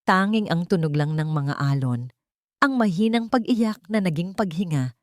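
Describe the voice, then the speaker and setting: A woman narrating a scripted dramatic love story as a storyteller, in measured, short dramatic phrases. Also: on the low side, animated, no echo, clean studio sound.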